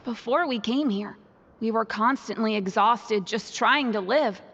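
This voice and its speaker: Friendly content woman prisoner, slightly high voice, easygoing, game dialogue, long reverb. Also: a little slow, fairly bright tone, highs cut off, slight background noise. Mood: content, reassuring. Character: friendly, content female prisoner and club member tidying books. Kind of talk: video game dialogue acting.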